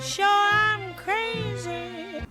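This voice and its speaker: Female jazz vocalist, high register, extremely slow delivery, loud music behind. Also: steady tone. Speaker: singer. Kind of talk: vintage jazz singing.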